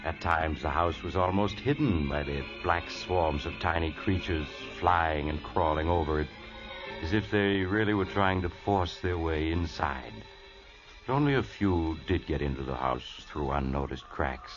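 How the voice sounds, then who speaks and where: Man, very deep voice, radio narration, measured, dull lo-fi sound, music behind. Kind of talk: scripted narration.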